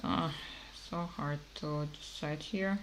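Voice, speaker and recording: Female game streamer with a low voice, muses slowly over each move with lots of ums. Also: steady tone, slight background noise. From Kazakhstan. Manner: hesitant, musing, many pauses and fillers. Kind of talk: thinking aloud while playing a digital card game.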